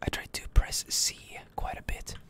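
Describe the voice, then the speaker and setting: A person whispering ASMR commentary over a video game, rambling a little and repeating words, close to the microphone. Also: unhurried. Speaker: gaming streamer, ASMR video host.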